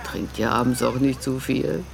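A woman playing a relaxed, teasing middle-aged mother at a campsite in a radio drama, amused and chatty. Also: extremely low pitch, moderate pace, creaky, full character voice. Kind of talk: naturalistic radio drama dialogue.

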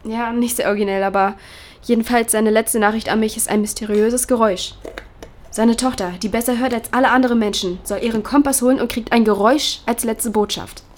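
Woman acting insistent, higher-voiced grieving young daughter. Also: steady tone, brisk, a little mellow, close to an everyday voice. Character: grieving, searching young daughter with exceptional hearing. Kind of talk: naturalistic audio drama dialogue. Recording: clear background ambience noise.

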